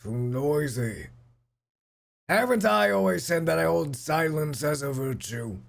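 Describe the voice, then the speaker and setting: A man's voice: fairly high pitch, lively intonation, measured pace, creaky, pitched above the performer's own voice. A man voicing a stern, cantankerous old family patriarch who scolds imperiously.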